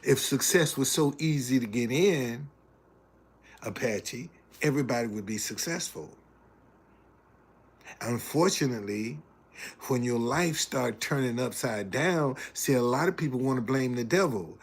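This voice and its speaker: Older man, 70s, folksy livestream host, unhurried and gravelly. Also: medium pitch, expressive. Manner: folksy, preacherly, reads chat messages aloud. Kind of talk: casual livestream talk and preaching.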